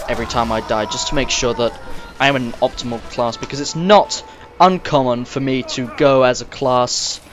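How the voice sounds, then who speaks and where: A young man, slightly croaky and sniffly, rambling casually to the viewer as he plays and comments on a game. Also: medium pitch, noisy background. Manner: rambling, chatty, talks straight to the viewer. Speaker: gamer recording gameplay commentary. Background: game music and sounds.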